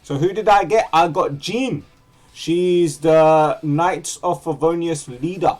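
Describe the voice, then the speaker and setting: Chatty man with a highish voice, streaming. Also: slow pace, a little mellow. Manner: informal, chatty. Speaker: game streamer. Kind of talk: casual gameplay commentary and chat. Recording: faint game music behind. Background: faint game music.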